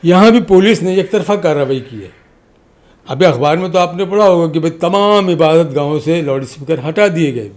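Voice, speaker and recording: A man's voice: a little high, normal speed, darkish tone. A man speaking as an interview guest, arguing politics emphatically and oratorically with repeated parallel phrases. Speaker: podcast guest, community activist. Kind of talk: impassioned political interview talk.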